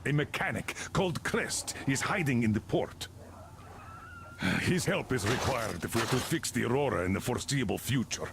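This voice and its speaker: A stern older officer from a game. His gravelly voice moves widely in pitch as he gives authoritative orders. There is faint noise behind him. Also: lively intonation. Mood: resolute. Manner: brisk, authoritative briefing. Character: stern older military commander, measured and authoritative. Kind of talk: video game voice acting.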